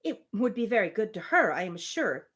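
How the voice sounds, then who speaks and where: A woman's voice: medium pitch, sing-song, normal speed, a bit bright, slightly rough. A woman reading a spirited, opinionated young woman from a Regency novel, emphatic and formal. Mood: indignant.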